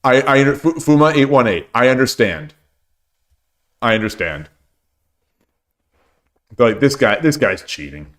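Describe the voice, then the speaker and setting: A hoarse man talks through his shogi games on a live stream, pitched in the ordinary middle range. He muses aloud and repeats his own phrases as he works out each position. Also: animated, measured pace, long pauses. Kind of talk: casual game commentary.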